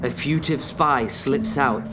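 A man reading stage directions as the narrator of an audio play, measured and solemn. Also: medium pitch. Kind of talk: scripted dramatic narration. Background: plucked guitar music.

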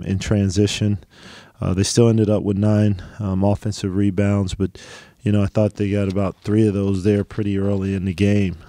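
A middle-aged man coaching basketball, answering reporters after a game, halting, with many ums and restarts. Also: fairly low pitch, warm tone, dry recording, clean studio sound. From United States. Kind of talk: post-game press conference answers.

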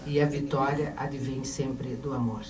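A middle-aged Brazilian woman giving a formal tribute from a panel in a measured, ceremonious way. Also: extremely low pitch, level tone, a little mellow. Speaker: panel member. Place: indoor auditorium panel table. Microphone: handheld mic, recorded by a distant camera. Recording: echoey, noisy hall from far off.

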